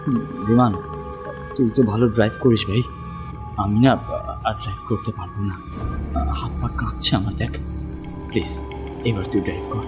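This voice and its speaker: A man's voice: lowish voice, fairly even intonation, natural voice. An actor playing a terrified, insistent young city man who stammers and repeats himself in a horror audio drama. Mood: shaken. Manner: stammering, halting, repeats words. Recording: loud music over the voice.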